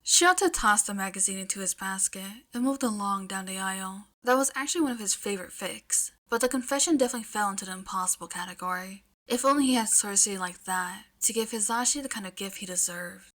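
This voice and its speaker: A woman reading fiction aloud as an expressive audiobook-style narrator. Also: mid-range voice, quick pace, hardly any pauses. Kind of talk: expressive storytelling narration with casual asides.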